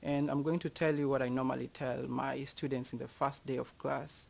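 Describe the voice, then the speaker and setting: A man's voice: medium pitch, level tone, normal speed, gravelly, a little mellow. A man in his thirties from Kenya with a Kenyan accent, a university teacher giving a personal, self-deprecating talk to an audience.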